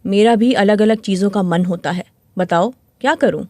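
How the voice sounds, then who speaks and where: A woman's voice: medium pitch, moderate pace, mellow, dry recording, natural voice. A woman voicing a discontented married client in her thirties in a dramatized story. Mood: plaintive. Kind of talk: dramatized story dialogue in Hindi.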